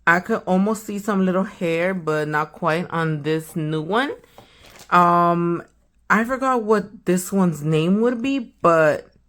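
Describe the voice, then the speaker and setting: A young woman hosting a nail channel, chatting casually and slangily while unboxing, a little hoarse from a sore throat. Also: fairly low pitch. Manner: chatty, slangy, trailing off to a whisper. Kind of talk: casual product unboxing chat.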